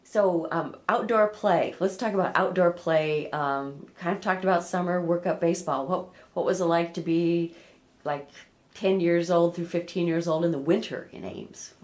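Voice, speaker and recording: Lady interviewing someone, slightly low voice, measured, pauses between bits. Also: darkish tone. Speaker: oral history interviewer. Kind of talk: conversational interview questions.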